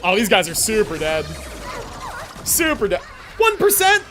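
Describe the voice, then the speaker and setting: A man livestreaming a video game with casual commentary, thinking out loud in slangy, sweary talk. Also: high-pitched, huge pitch swings, measured pace, music in the background. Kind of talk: casual gaming stream commentary.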